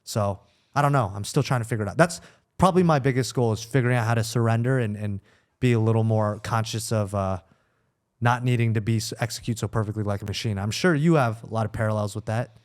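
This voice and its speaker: A man speaking candidly and casually as a podcast guest, introspective and haltingly searching for his words. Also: on the low side.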